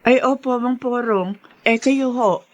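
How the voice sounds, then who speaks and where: A woman's voice: on the high side, measured pace, close to an everyday voice. A woman playing a hardworking, firm and devoted middle-aged mother in a radio drama. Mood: grateful, friendly.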